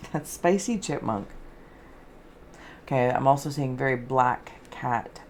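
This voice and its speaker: Woman, very low voice, gravelly fry, dreamy meandering tarot reader, soft-spoken, faint noise behind. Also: expressive, slow. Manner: dreamy, meandering, alliterative phrasing. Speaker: host, tarot and scrying reader. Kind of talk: soft-spoken spiritual reading, improvised narration.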